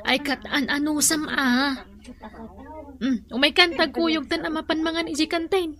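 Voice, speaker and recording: High-voiced chatty female friend. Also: little pitch movement, a little slow, fairly bright tone. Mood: chummy. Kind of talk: comedic radio drama acting.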